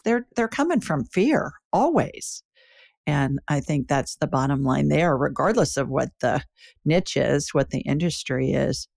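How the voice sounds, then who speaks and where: A woman's voice: on the low side, creaky, a little mellow, dry recording, clean studio sound. A woman hosting an interview, speaking in a blunt, matter-of-fact teaching tone. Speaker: show host. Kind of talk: interview conversation.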